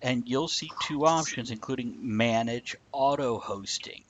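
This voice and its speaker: A man's voice: mid-range voice, unhurried. A man hosting a livestream with casual, wry, joking banter and informal step-by-step explanations. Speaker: livestream show host. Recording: dull lo-fi livestream audio.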